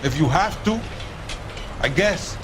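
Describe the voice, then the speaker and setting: A man's voice: fairly high pitch, expressive, slow, noisy background. A man acting an evasive, defiant produce worker under questioning in a video game. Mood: grudging.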